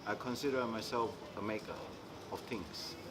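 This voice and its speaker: A middle-aged man from Indonesia, speaking haltingly in an interview and often restarting as he searches for words. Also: on the low side. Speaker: interviewee, maker. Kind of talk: casual interview talk. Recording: clear background noise, insects.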